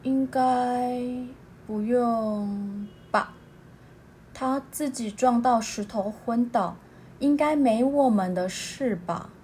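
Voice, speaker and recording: A woman voicing a cautious owl in a storybook, speaking quietly and hesitantly. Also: fairly high pitch, slow, a little hiss or hum.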